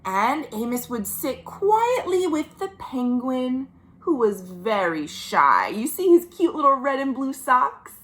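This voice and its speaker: An American woman reading a picture book aloud to young children, with chatty asides and gentle questions, drawing out key words. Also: sits high, big pitch swings, slow. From United States. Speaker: children's librarian hosting a virtual storytime. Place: at home.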